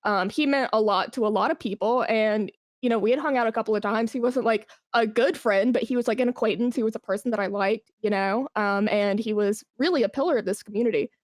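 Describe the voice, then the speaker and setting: A woman's voice: a little high, fast, hardly any pauses, dry recording, clean studio sound. A woman speaking as a podcast guest in a casual interview, telling things candidly and informally. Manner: informal, candid storytelling peppered with "like". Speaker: podcast guest, esports brand manager.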